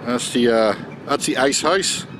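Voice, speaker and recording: A Northern Irish man in his forties talks straight to his viewers, relaxed and unhurried, his thoughts broken up by frequent "uh" pauses. He speaks at an ordinary middle pitch. He holds the camera at arm's length outdoors, with loud background noise under his voice. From Northern Ireland. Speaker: vlogger. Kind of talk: casual travel vlog talk to camera. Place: outdoors at a fishing harbour quayside. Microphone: camera held at arm's length.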